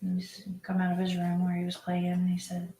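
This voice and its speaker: A woman recounts a day in a recorded interview, halting and full of ums. Her voice is gravelly and her pitch stays flat as she speaks slowly. The clip sounds roomy, with faint ambient noise behind her. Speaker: interviewee, mother.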